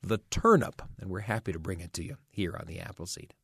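A man's voice: deep voice, lively intonation, a little fast, vocal fry, loud music behind the voice. A man hosting a storytelling radio show, presenting in a scripted style.

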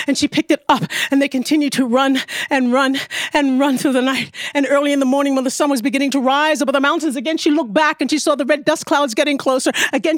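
A woman with a high voice tells a traditional tale in a dramatic oral style. She is expressive and leans on rhythmic repetition. The recording is dry, with little room sound. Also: dry recording. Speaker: storyteller. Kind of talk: dramatic oral folktale narration.

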